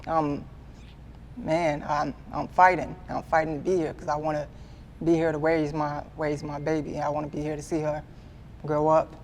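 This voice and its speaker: A man in his twenties giving a candid sit-down interview, earnest and halting, often repeating himself as he restarts. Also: fairly high pitch, level tone, a little hiss or hum. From Midwestern US. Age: 20s. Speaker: guest artist.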